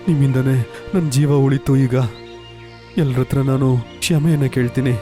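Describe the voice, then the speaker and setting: A man's voice: medium pitch, fairly even intonation, moderate pace, music in the background, lightly acted. A man voicing a humbled, grateful, friendly giraffe in a cartoon story. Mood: grateful, sincere.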